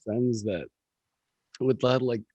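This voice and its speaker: A man with a gravelly voice, a musician chatting casually as a podcast guest, rambling with lots of fillers and swearing. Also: medium pitch, slow, dark tone, no room sound, lo-fi sound.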